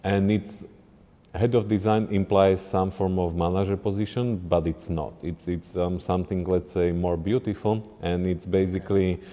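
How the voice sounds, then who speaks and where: A middle-aged man from Slovakia, a game design lead answering interview questions in halting English, often restarting mid-sentence. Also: low voice, steady tone, mellow, big-room sound.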